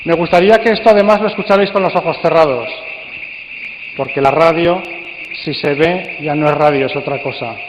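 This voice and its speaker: A man with an accent from Spain gives a live, interactive talk to an audience, with measured, playfully theatrical phrasing. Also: on the high side. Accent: Spanish from Spain. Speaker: speaker and coordinator at a radio drama congress. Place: large indoor congress hall. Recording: dull, echoey hall recording with faint noise.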